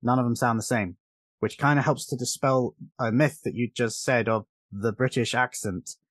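A man's voice: medium pitch, steady tone, moderate pace, a bit bright, no echo. Guy podcast co-host talking films, opinionated, explains stuff, really dry close recording. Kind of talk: casual film discussion.

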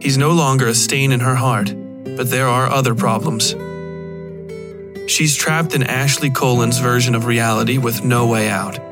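Male horror storyteller over loud music. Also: medium pitch, fairly even intonation, moderate pace. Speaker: podcast host, writer and narrator. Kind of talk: scripted narration of dark fiction.

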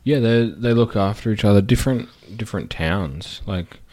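A man with an Australian accent chatting casually on a podcast as host, lively and quick to mimic how other people talk. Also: fairly low pitch. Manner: chatty, animated, acts out voices of others. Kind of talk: casual chat.

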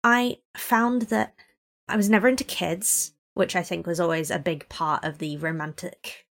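A woman's voice: lowish voice, fairly even intonation, normal speed, vocal fry, a bit bright. A woman in her twenties from Hong Kong, a podcast guest chatting in a wry, articulate way with frequent laughs. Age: 20s. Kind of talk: casual interview chat.

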